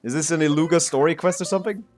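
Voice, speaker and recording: A man's voice: on the high side, rapid-fire. A man streaming a game with casual, rambling, self-questioning commentary. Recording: stream with game music behind.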